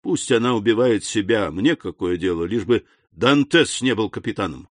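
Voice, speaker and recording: A man plays a calculating storybook villain. He talks deliberately, slipping between scorn and false friendliness, mocking and insinuating, in a dry, close sound. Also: on the low side, animated, no room sound. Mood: scornful.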